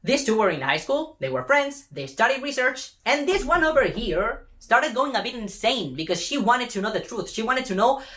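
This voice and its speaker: A woman's voice: fairly high pitch, very animated, moderate pace, hardly any pauses, a bit bright. A woman in a casual, rambling rant, retelling a story with sarcastic, sweary exclamations.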